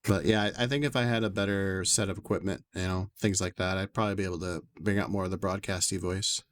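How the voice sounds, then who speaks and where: A man streaming a game from his bedroom, chatting to his viewers in long, rambling asides in an upper bass voice, through a desk microphone with noise suppression. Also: steady tone, quick pace, no echo. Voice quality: upper bass to lower tenor range. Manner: rambling, talks to chat in long winding asides. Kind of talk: casual chat while streaming a game. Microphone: desk USB condenser mic with noise suppression.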